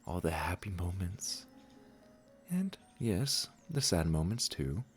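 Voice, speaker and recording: A man playing a gentle, wise garden keeper in a comforting audio roleplay, speaking in a soothing, affectionate and encouraging way. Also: deep voice, very animated, slow, slightly rough, soft background music, exaggerated voice. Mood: tender, encouraging.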